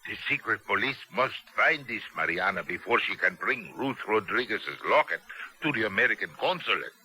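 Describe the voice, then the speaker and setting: An actor playing a menacing police captain and interrogator in a 1970s radio drama, deliberate and insistent. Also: male voice, on the low side, lively intonation, pushed and bright, low-quality recording. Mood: deferential, urgent. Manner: slow, deliberate, coldly insistent. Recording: old radio broadcast sound.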